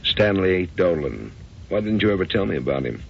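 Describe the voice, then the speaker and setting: Very deep, gravelly troubled American husband. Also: male voice, very animated, normal speed, heavily acted. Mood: probing, insistent. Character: troubled, insistent remarried husband and narrator. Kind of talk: old-time radio drama acting with narration. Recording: dull, noisy old radio recording.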